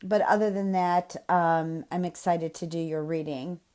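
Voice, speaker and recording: A woman with a slightly nasal, cold-congested voice giving a gentle, spiritual tarot reading with many pauses and fillers. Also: on the low side, fairly even intonation, clear, resonant tone. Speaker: tarot reader.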